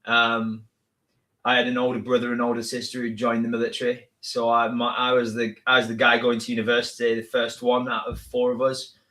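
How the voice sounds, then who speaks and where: Northern English man with an even, flat voice. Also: medium pitch. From northern England. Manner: modest, a little halting, with many restarts. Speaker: guest. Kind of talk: casual interview talk.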